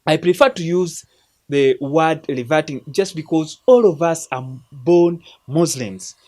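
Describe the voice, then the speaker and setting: A man's voice: mid-range voice, darkish tone. A man from Uganda, hosting a film recommendation show in an earnest, preacherly way, often restarting his words. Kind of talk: casual spoken film review.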